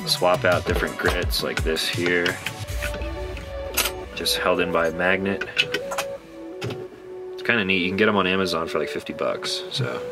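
A man's voice: low-pitched, fairly even intonation, normal speed, pauses often, over music. A man in his thirties giving a casual hands-on explanation of camping gear inside a van. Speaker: camping companion demonstrating gear.

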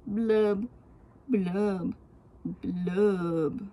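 A woman's voice: medium pitch, fairly even intonation, drawn-out words, dark tone. A woman voicing a glum, gloomy male fish in a children's storybook, speaking in mopey, resigned singsong rhyme.

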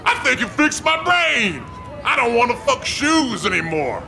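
An actor playing a crude, thuggish bully with a coarse, taunting drawl in a comic audio drama. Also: male voice, extremely high pitch, sing-song, unhurried, creaky, pushed and bright, a faint crowd, heavily acted. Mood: awed, friendly. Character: thuggish, crude male bully with a shoe fetish. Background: music.

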